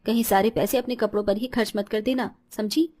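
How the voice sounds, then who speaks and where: A woman's voice: medium pitch, steady tone, a little fast, warm tone, a little hiss or hum, natural voice. A woman playing caring, dutiful women of a household, mother-in-law and daughter-in-law, in a cartoon story with an earnest manner. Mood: firm. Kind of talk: Hindi cartoon story dialogue.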